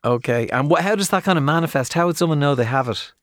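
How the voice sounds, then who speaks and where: A man's voice: a little high, expressive, fast talker, a bit bright, dry recording. A man hosting a radio talk show, friendly and prone to restarting his questions. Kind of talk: radio interview.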